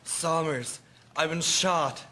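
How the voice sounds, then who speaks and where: A man's voice: fairly high pitch, slow pace, bright, forceful tone. A young man playing a dazed, wounded airman in an audio drama.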